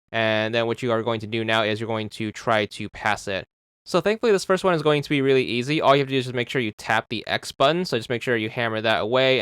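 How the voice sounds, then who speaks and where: A man's voice: medium pitch, a bit bright, smooth, dry recording. A man narrating a game achievement walkthrough, methodically repeating step-by-step instructions into a close microphone. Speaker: achievement guide host.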